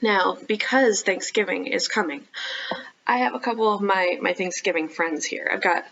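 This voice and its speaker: A woman leading a storytime for young children as a librarian, talking directly to the kids with questions and pauses. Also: medium pitch, vocal fry, fairly bright tone, dull audio, a little hiss or hum. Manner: child-directed, asking questions and pausing for answers.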